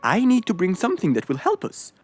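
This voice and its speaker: A woman voicing a helpful, lively male fox in a children's cartoon, expressive and obliging. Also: mid-range voice, a little fast. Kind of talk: children's cartoon character acting.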